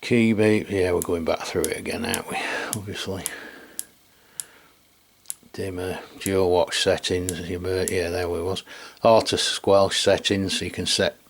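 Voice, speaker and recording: Low, flat-toned Englishman. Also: a little slow, vocal fry. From England. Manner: rambling, colloquial, with tag questions. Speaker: radio reviewer and video host. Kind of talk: casual hands-on product demonstration.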